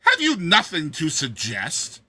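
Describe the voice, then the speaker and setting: A man's voice: wildly swooping pitch, measured pace, pushed and bright, no echo, full character voice. A man voicing a cruel, mocking, boisterous tyrant king in a theatrical, roaring storybook reading. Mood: impatient, fierce. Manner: booming, theatrical, roaring, imperious.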